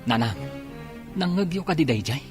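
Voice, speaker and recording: An actor with an Ilocano accent playing an urgent, commanding police officer in a radio drama. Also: male voice, on the high side, expressive, normal speed, music in the background, natural voice.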